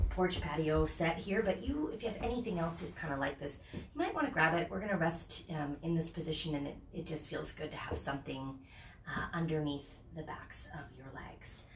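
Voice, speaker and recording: A woman with a low voice that has a gravelly edge leads a yoga class. She is stuffed up with a summer cold, and she rambles in a friendly way before moving into slow, calming cues. She sounds a bit distant, with room echo around her. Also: slight background noise. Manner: chatty and rambling, then slow and soothing cues. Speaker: yoga teacher. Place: home, near a porch patio set. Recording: echoey room from off the mic.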